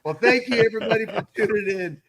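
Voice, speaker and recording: A man's voice: high-pitched, fast, a loud crowd. A man co-hosting a casual recap chat, often repeating and restarting his phrases. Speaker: co-host.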